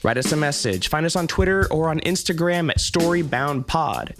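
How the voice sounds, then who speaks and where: A man's voice: a little high, expressive, moderate pace, fairly bright tone. A man with an American accent hosting a podcast, delivering scripted announcements and credits. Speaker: podcast host. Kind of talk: scripted outro narration. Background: music.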